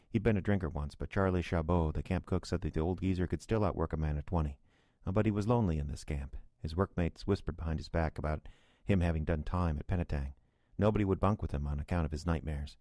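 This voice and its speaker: An actor playing a reflective storyteller, giving scripted first-person narration for a horror drama. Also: male voice, low-pitched, fast talker, few pauses, mellow, dry recording, studio quality, close to an everyday voice. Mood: reflective, fond. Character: reflective male storyteller, repairman recalling eerie past. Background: music.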